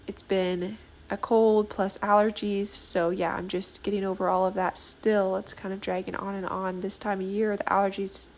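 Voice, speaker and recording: A woman in her thirties narrating a home video in a casual voice-over, her voice a bit hoarse from a cold, chatty and quick to laugh. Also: medium pitch, level tone, slight background noise. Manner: chatty, self-deprecating, laughs often. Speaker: vlogger.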